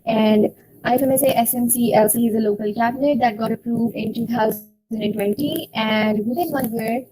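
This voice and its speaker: A young woman hosting an online student session, speaking slowly and formally as she welcomes guests. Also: a little high, fairly even intonation, dark tone, poor audio. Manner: slow, deliberate, formal phrasing. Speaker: event host for a student association.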